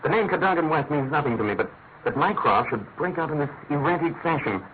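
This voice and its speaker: Brilliant, restless male detective for a classic radio mystery, played by a man: articulate, quick and lively in pitch, on a narrow-band recording. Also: a little hiss or hum, lightly acted. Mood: amused, expounding. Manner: articulate, declamatory, musing. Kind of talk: classic British radio drama acting.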